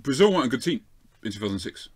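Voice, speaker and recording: A man with a South London accent, arguing football opinions as a fan show pundit in an animated, rapid-fire way. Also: mid-range voice, a crowd in the background. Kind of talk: lively football debate.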